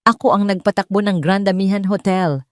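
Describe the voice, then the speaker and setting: A woman voicing a proud, desperate jobless man who once ran a hotel, speaking in loud, indignant outbursts. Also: mid-range voice, fairly even intonation, a little fast, no echo, clean studio sound, natural voice.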